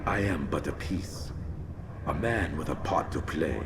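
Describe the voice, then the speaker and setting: A smug merchant villain at death's door in a game cutscene. His deep voice is grand and condescending, over clearly noisy ambience. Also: unhurried. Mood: smug, defiant. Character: dying male merchant villain, smug, proud, defiant. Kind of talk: video game cutscene acting.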